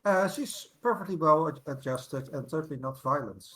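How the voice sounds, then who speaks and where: A deep-voiced woman tabletop player chatting casually, hesitating over fillers and landing a wry line. A faint hiss of noise sits under her voice. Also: lively intonation, normal speed. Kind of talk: casual chat on a voice call.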